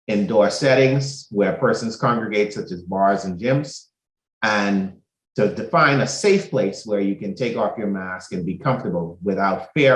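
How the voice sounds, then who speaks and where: A man from the Bahamas speaks in a low, steady voice, laying out his points one by one in a measured way. The recording carries a noticeable roomy echo. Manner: measured, methodical, lists points in order. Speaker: doctor. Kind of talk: prepared explanatory talk.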